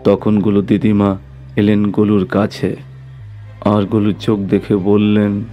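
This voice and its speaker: A man's voice: on the low side, completely flat delivery, measured pace, lo-fi sound, faint music behind. A man narrating a scripted horror story as the storyteller.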